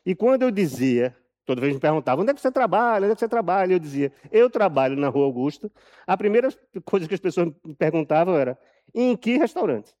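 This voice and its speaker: A man with a Brazilian accent giving a live talk from a prepared text, telling his story deliberately and emphatically, repeating phrases for effect. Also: high-pitched, big pitch swings, a little mellow. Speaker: journalist and author speaking at an event.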